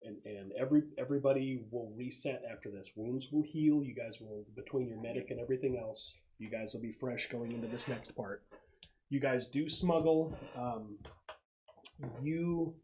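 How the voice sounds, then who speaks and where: A man's voice: mid-range voice, moderate pace, a little mellow, lo-fi sound, slight background noise. Casual man improvising as game master. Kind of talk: narrating a tabletop roleplaying game.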